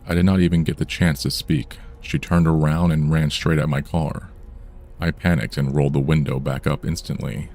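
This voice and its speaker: Very deep-voiced man narrating horror. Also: flat delivery, a little mellow. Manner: measured, somber storytelling delivery. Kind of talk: scripted true-crime horror narration.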